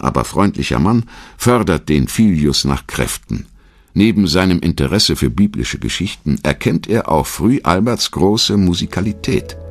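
A man with an extremely low voice narrates a scripted documentary. His delivery is measured and story-like, with lively rises and falls in pitch. Faint music plays behind him. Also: gravelly.

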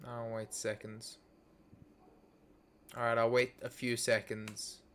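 A man's voice: medium pitch, completely flat delivery, slow, a bit bright. A young man chatting casually with a friend on a voice call while loading a game, joking and musing in a mock-dramatic way. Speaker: gaming video creator. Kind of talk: casual chat over voice call.

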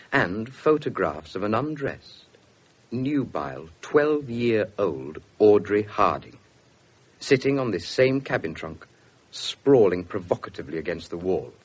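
An actor playing an earnest young police detective with a British accent, narrating and speaking in a 1970s radio drama. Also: male voice, fairly low pitch, slightly rough, heavily acted. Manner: formal narrating, indignant in dialogue.

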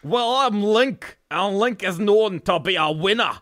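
Man putting on a cocky, animated warrior. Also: high-pitched, a little slow, dry recording, pitched above the performer's own voice. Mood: cocky, taunting. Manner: taunting, bragging. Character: cocky, insult-slinging Scottish male warrior. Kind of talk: improvised comedic game dub.

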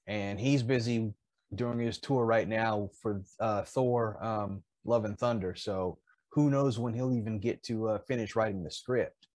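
A man in his fifties chatting casually as a podcast co-host, often pausing mid-thought. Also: lowish voice, fairly even intonation, a little mellow, no room sound. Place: indoors in a home collectibles room.

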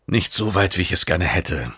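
A man's voice: deep voice, lively intonation, moderate pace, pushed and bright, husky, dry recording, studio quality, exaggerated voice. A man voicing a dutiful, deferential male pupil with a guarded, restrained manner in an audiobook. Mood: candid. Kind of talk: audiobook character acting.

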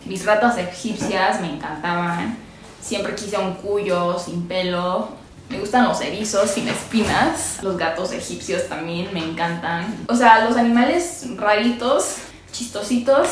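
A woman's voice: mid-range voice, a little hiss or hum. A young Mexican woman with a Mexican Spanish accent, chatting casually and giggling as she shows her reptiles in a small bare room, recorded on a camera mic at medium distance. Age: young adult. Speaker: reptile keeper vlogger. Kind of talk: casual unboxing vlog. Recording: echoey room with loud ambience.

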